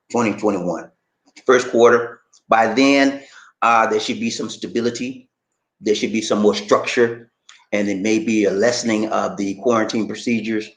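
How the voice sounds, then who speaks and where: A man hosting a live stream, reading out viewer comments and chatting loosely and directly to his audience. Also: fairly low pitch. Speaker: channel host reading viewer chat. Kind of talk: casual live-stream chat. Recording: echoey room.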